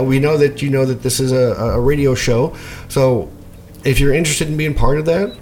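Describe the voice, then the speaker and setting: A man's voice: medium pitch, darkish tone, a little hiss or hum. A man co-hosting a casual radio show, rambling with lots of fillers and jokes. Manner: rambling, filler-heavy, joking. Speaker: podcast co-host.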